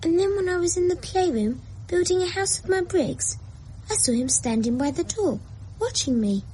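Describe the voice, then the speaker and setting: A woman's voice: very high voice, expressive, normal speed, mellow, poor audio. A woman voicing a lonely, imaginative young boy in a radio drama, talking in a plaintive, childlike way. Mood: bored. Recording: old radio play with faint hiss.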